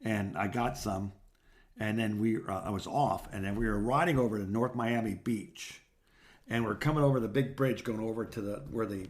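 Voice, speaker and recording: A man in his sixties from South Florida with an American accent, co-hosting a podcast and rambling through personal anecdotes in a halting, circling way. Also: fairly low pitch. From South Florida, United States. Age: 60s. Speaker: podcast co-host. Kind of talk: casual phone chat, rambling personal anecdotes.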